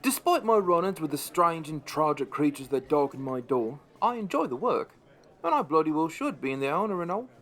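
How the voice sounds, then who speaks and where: Lively high-pitched pub landlord, played by a man. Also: normal speed. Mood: wry. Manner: wry, conversational, expressive. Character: wry, chatty East London pub landlord, storyteller. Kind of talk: first-person audio drama narration.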